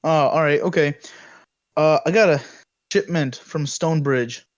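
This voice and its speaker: A man voicing a brisk armored female courier in a casual, hesitant way, barely changing his own voice. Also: fairly high pitch, muffled recording, close to an everyday voice.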